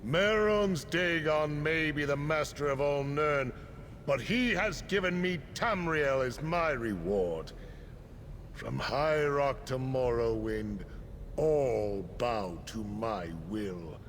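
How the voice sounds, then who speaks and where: Slow, gloating villain priest, heavily reverberant. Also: male voice, mid-range voice, expressive, a bit bright. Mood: gloating triumph. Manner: pompous, grandiose, deliberate. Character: triumphant villainous male high priest, gloating, menacing. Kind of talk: video game dramatic acting. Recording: long hall reverb, faint noise.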